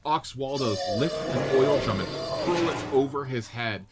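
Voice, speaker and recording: A man's voice: mid-range voice, lively intonation, unhurried, loud music behind the voice. A man narrating a radio-play script, reading out stage directions and setting each scene descriptively.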